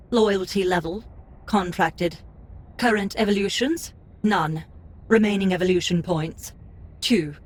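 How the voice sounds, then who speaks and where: A woman voicing a cool, formal game system interface in an audiobook, announcing its notices in a measured way. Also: medium pitch, natural voice.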